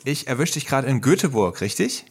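A man's voice: mid-range voice, very animated, fairly quick, slightly rough. A man hosting an interview podcast, introducing the episode and asking questions with friendly enthusiasm. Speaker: podcast host.

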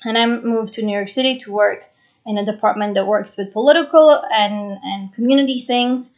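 A woman's voice: mid-range voice, a little fast. A woman in her twenties from Brazil, raised in Israel, hosting a solo podcast in an earnest, chatty way and repeating phrases for emphasis. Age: 20s. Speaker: podcast host.

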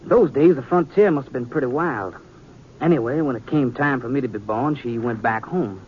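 A man's voice: mid-range voice, close to an everyday voice. Male actor for an earnest young Old West sheriff, reserved and polite, American, muffled radio drama with faint noise. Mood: earnest, wistful. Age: young adult. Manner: courteous, reserved, deliberate. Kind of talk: 1950s radio Western acting. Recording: muffled noisy 1950s radio recording.

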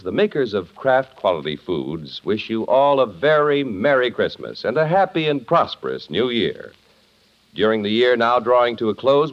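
Lively, low-voiced vintage radio announcer, male. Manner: formal, polished delivery. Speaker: radio announcer and sponsor spokesman. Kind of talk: 1950s radio announcing, scripted narration. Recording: muffled old 1950s radio recording.

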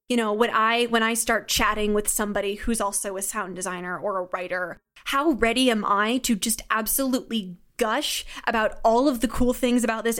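A woman's voice: on the high side, a bit bright. A young woman chatting casually and emphatically as an enthusiastic interview guest. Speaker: podcast guest, audio drama writer and sound designer.

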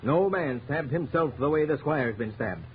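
An actor playing an emphatic, commanding village sheriff in a 1930s radio drama. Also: male voice, a little high, animated, normal speed, close to an everyday voice. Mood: measured, firm. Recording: dull, noisy 1930s radio recording.

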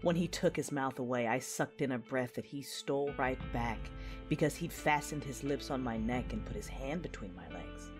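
A woman's voice: very deep voice, moderate pace, over music. A woman acting as an intense, passionate Jedi knight, narrating her own story in a confessional, introspective audio drama style. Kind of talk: first-person audio drama narration with dialogue.

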